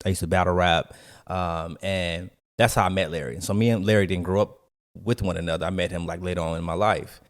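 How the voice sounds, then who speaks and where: A man from co-hosting a podcast, telling stories in a casual, slangy and animated way. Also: sits low, brisk. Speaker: podcast co-host and storyteller. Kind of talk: casual conversational storytelling.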